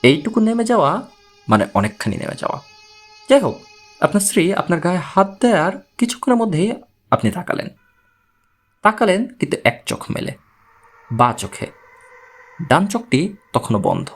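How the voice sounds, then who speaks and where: A man acts out a patient investigator from an audiobook story. He reasons step by step and puts careful questions. The voice is slightly high and husky, with very lively intonation. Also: faint music behind, heavily acted. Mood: explaining intently. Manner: measured, explanatory, questioning. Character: analytical, patient male investigator explaining his theory.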